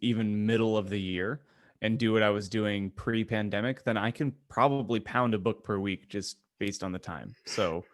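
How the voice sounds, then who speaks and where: A man's voice: lowish voice, steady tone, moderate pace, dry recording, clean studio sound. A man co-hosting a podcast in casual chat, informal and joking with crude humour. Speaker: podcast co-host.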